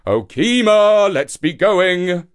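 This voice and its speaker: A man's voice: on the high side, wildly swooping pitch, unhurried, pitched above the performer's own voice. A man voicing a friendly but scheming, rather gullible shark in an animal folktale, coaxing and emphatic. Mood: impatient.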